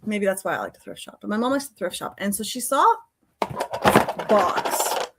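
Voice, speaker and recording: A woman's voice: mid-range voice, huge pitch swings, normal speed, slightly rough. A woman chatting casually and playfully as a livestream host.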